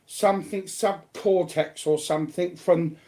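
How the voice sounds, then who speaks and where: A man's voice: sits high, fairly even intonation, measured pace, warm tone. A blunt, sweary man chatting casually as a guest on a livestream.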